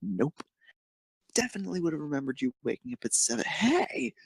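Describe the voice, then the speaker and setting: A man's voice: fairly high pitch, huge pitch swings, fairly quick, creaky, breathy edge, no room sound, voice pushed up, exaggerated voice. A man voicing a teasing, supportive young best friend in an amateur fan fiction reading. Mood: amused teasing. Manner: teasing, pointed.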